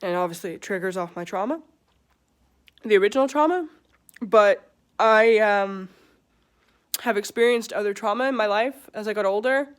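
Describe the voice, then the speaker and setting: Woman in her twenties, English-born with an American accent, smooth clear voice, halting and hesitant confessional vlogger. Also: mid-range voice. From England. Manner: hesitant, halting, many pauses and fillers. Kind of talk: personal confessional talk.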